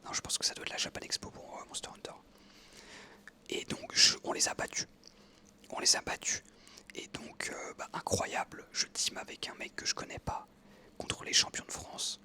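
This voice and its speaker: A man in his twenties whispering a relaxed personal story while out on an evening walk, hesitating often with fillers. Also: normal speed. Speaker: ASMR creator.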